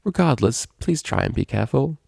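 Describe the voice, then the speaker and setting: Storybook role: proper female pony cellist, anxious and polite, raised pitch, performed by a male narrator. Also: animated, brisk, vocal fry, darkish tone, breathy edge, dry recording, studio quality, heavily acted. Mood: flustered. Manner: polite, careful, hesitant.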